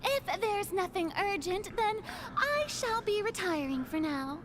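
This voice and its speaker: Haughty, insecure young game goddess, very high female voice, unhurried, lively swings, music behind. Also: very noisy. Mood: weary, guarded. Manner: haughty, faltering, stammering nervously. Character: theatrical young female Archon, grand yet insecure. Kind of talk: video game voice acting.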